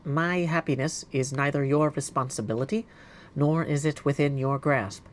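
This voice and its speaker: A man voicing a stern, dignified witch headmistress from a fantasy game, with formal, measured, archaic speech. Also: fairly high pitch, close to an everyday voice. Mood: solemn, regretful. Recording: clear background noise.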